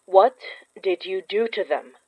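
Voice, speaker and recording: A woman's voice: mid-range voice, unhurried, dry recording, dull audio, lightly acted. A woman playing a curt, determined heroine. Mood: demanding. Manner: curt, demanding. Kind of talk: horror audio drama acting.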